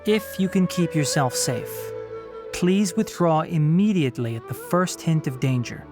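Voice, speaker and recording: An earnest young male ranger in a video game. He is sincere and thoughtful, with a gentle, articulate delivery. Soft music sits underneath. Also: fairly high pitch, expressive, unhurried. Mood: earnest, concerned. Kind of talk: scripted video game voice acting. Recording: music behind the voice. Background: faint music.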